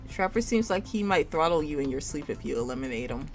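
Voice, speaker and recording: A woman's voice: deep voice, lively intonation, fast, soft background music. A woman reading a game story aloud in a theatrical storytime style, shifting her voice between characters. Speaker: streamer reading a visual novel game aloud.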